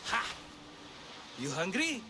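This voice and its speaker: An actor playing a jovial, evasive older Greek schemer in a video game, ingratiating and theatrical. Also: male voice, sits high, huge pitch swings, slow pace, over loud music, heavily acted. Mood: playful bargaining. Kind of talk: cinematic video game acting.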